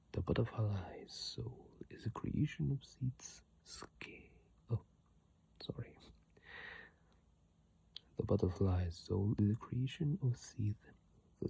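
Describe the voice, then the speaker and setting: ASMR creator: slow, gravelly-edged breathy whisper, informal lore reader, close phone mic. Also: low-pitched. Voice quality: breathy whisper, mostly unvoiced. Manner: hushed, unhurried, informal with asides. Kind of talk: whispered ASMR reading and commentary on video game lore. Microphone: close iPhone mic.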